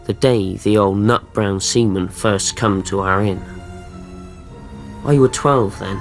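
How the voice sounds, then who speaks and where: A man's voice: lowish voice, slow. An actor playing a rustic man, narrating his boyhood memories reflectively in a radio drama. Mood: reminiscing. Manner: reflective, plain-spoken storytelling. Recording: soft music behind narration.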